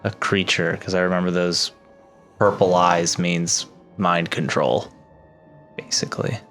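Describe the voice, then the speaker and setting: Male tabletop player, fairly low, informal and sweary, loose improvised delivery, soft score underneath. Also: fairly even intonation, unhurried. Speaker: player in a tabletop roleplaying game. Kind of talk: casual improvised game chat. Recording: faint music behind the voice. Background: background music score.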